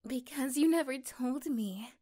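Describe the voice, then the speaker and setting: A gentle young girlfriend acted by a woman speaks in an intimate ASMR roleplay. Her voice is high and her pace is slow, with soft, hesitant pauses. She sounds affectionate and accepting. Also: breathy edge. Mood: reassuring, curious. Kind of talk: ASMR roleplay, intimate one-sided dialogue.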